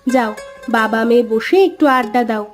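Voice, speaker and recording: Lady doing spoiled city wife, high pitched, touchy and whiny, moral story with music. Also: little pitch movement, moderate pace, natural voice. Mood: touchy, complaining. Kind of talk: dramatised moral story voice acting.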